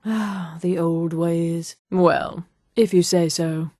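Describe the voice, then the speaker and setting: Haughty high elf woman, close and dry. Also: fairly even intonation, takes it slowly, dark tone, dry recording. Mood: composed, secretive. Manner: haughty, condescending, crisp articulate delivery. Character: haughty high elf woman, proud, menacing fantasy game character. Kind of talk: scripted video game voice lines.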